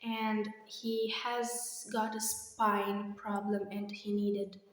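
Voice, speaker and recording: Heavy room echo and long reverb surround a young woman's slightly hoarse voice. She talks at a slow, hesitant pace, rambling casually like someone giving a personal vlog update. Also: medium pitch, level tone. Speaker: vlogger. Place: echoey indoor room. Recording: very echoey, reverberant empty room.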